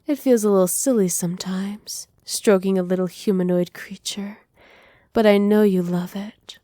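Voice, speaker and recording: Woman playing a doting, possessive witch, mellow and close. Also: mid-range voice, fairly even intonation, moderate pace, dry recording, close to an everyday voice. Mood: soft, coaxing. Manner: soft, teasing, condescendingly affectionate. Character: doting, possessive witch mistress pampering her captive pet. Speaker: voice actor. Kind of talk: ASMR roleplay.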